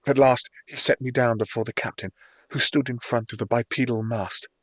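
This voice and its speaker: Man narrating a dark fantasy audiobook, dry recording. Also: medium pitch, dry recording. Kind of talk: scripted dramatic first-person fantasy narration.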